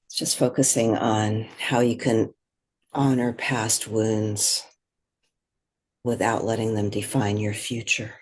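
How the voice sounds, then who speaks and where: A husky, breathy voice leads a guided meditation at a very slow pace. The delivery is gentle and measured, with long pauses between short phrases of instruction. The pitch sits in a middle range. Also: flat delivery.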